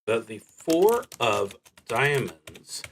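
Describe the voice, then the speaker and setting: Male solo RPG streamer, mid-pitched and bright, slow and deliberate, narrating play live with dry asides. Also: very animated, gravelly, slight background noise. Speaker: solo tabletop roleplaying streamer.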